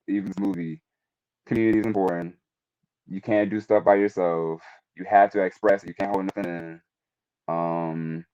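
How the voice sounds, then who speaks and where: Man, twenties, deep-pitched, casual and halting panel speaker; lo-fi, dry sound. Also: darkish tone. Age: 20s. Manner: hesitant, searching for words. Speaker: panel participant. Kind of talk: casual personal reflection in a panel discussion.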